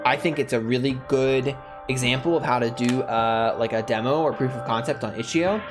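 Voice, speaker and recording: A man's voice: mid-range voice, normal speed. Man, gaming host, mid-range voice, reading story text aloud, casual commentary, music underneath.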